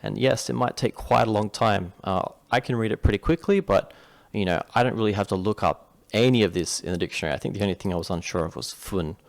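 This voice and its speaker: A man's voice: lowish voice, fast talker, creaky. A man from Western Australia with an Australian accent, explaining a Japanese reading lesson to viewers in a chatty, rambling way with frequent restarts. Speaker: Japanese tutor. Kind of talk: casual screen-share reading lesson.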